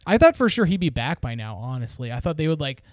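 A man chatting casually about a TV dating show, retelling stories with lots of fillers and quick mimicry of TV hosts. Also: fairly high pitch, very animated, no echo, studio quality. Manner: chatty, mimics TV host voices in quotes. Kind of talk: casual chat.

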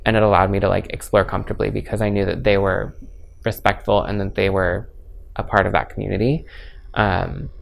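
A man's voice: lowish voice, level tone, a little fast, gravelly, a little hiss or hum. A man in his twenties talking openly in a casual interview, easygoing and candid, with many filler words. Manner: chatty, frequent filler "like".

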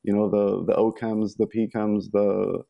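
A man's voice: lowish voice, flat delivery, unhurried, creaky, highs cut off. A young man from Egypt, a podcast guest talking casually with many ums and restarts. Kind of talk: casual interview talk.

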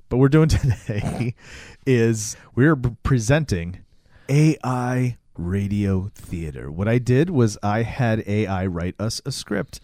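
A man's voice: mid-range voice, mellow, dry recording, clean studio sound. A man hosting a podcast, talking in a casual, chatty style. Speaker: podcast host.

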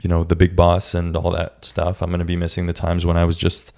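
A young man talks through his week on a solo podcast, his voice low and raspy with a gravelly edge. He speaks in an even, flat tone, restarting his sentences often. His delivery is casual and reflective. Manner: reflective, halting, many restarts. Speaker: podcast host.

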